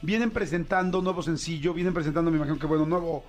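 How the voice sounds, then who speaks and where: A man with a Mexican Spanish accent hosts a casual interview in a high voice. He talks in a chatty, enthusiastic way and drops slang freely. He is from Mexico City. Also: racing, darkish tone. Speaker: podcast host.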